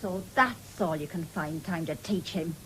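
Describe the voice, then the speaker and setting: An actress playing a proud, long-suffering farmer's wife in a radio drama, firm and scolding, with a husky voice and an English accent. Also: female voice, lowish voice, a little slow, a bit bright, slight background noise, rough recording. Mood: reproachful, defiant. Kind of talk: BBC radio drama acting.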